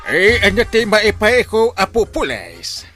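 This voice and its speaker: A man with an Ilocano accent playing an excitable, exclaiming village captain in a comedy radio drama. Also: sits high, measured pace, music in the background, exaggerated voice. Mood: startled, excitable. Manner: exclaiming, stretched words, sudden squawks.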